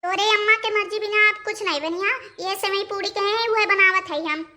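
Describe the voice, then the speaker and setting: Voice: very high-pitched, fairly quick, bright, forceful tone, full character voice. A performer voicing an anxious, deferential village daughter-in-law with an Awadhi accent, pleading and explaining in a family cartoon. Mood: resigned, explaining. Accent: Awadhi, eastern Uttar Pradesh.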